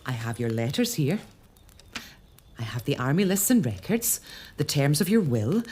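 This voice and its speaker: A woman's voice: very deep voice, full character voice. A composed castle lady. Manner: measured, gently defiant. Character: composed early-1800s Scottish lady of a castle. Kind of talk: spoken monologue to a ghost.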